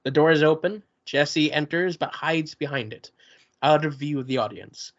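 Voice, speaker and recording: A man's voice: a little high, moderate pace. A man reading the stage directions of a play in a table read. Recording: dull lo-fi recording.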